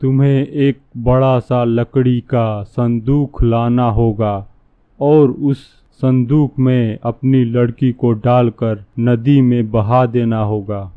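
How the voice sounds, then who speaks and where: A man's voice: medium pitch, clean tone, no room sound, close to an everyday voice. A man playing a scheming, lecherous fake holy man in a cartoon, speaking in a sly, pompous way. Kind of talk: cartoon dialogue. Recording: narrow, phone-like muffled sound.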